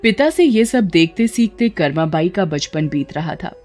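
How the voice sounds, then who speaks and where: A woman's voice: lowish voice, expressive, moderate pace, a little mellow. Woman narrating a devotional story over music.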